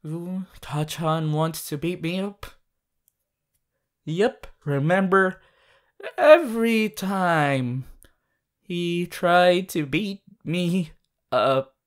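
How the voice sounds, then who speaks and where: A woman voicing a sweet, bashful, excitable teenage anime boy in an affectionate, halting ASMR roleplay addressed to the listener. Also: fairly low pitch. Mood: amused, reminiscing. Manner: halting, affectionate, with small hesitations.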